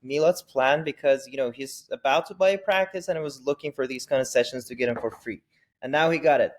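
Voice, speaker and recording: A man's voice: mid-range voice, brisk. Joking, frank male co-host. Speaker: podcast co-host. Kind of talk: casual interview chat.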